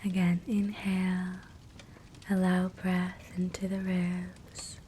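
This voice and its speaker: A woman guiding a sleep hypnosis meditation in a soft, breathy whisper, gentle and soothing. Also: on the low side, takes it slowly. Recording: soft steady fireplace crackle noise. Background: fireplace ambience.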